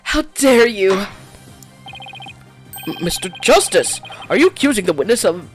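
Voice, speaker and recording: A woman's voice: mid-range voice, big pitch swings, measured pace, faint music behind. Woman doing a flustered old male judge. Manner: flustered, stammering.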